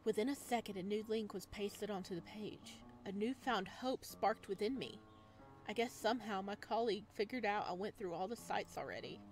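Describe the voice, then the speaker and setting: Chatty woman narrating over background music. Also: medium pitch. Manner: chatty, reads aloud with asides. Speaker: gaming video host. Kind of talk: visual novel let's play, reading text aloud with casual commentary.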